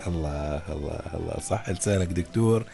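A man's voice: low-pitched, a little fast. A male host, prompting and praising his guest in a broadcast interview. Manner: gravelly, praising and lively when prompting.

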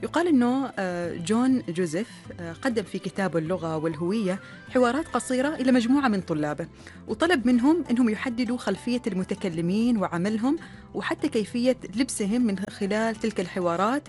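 A woman's voice: medium pitch, lively intonation, fast talker, darkish tone, soft background music. A woman with an Omani Arabic accent co-hosting a radio talk show, chatting casually with many fillers.

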